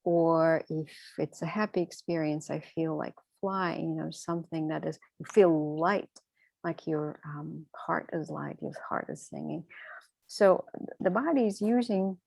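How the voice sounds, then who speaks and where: A woman co-presenting a webinar, explaining conversationally in halting phrases with everyday examples. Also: deep voice, gravelly, a little mellow, no echo. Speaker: co-presenter, physician.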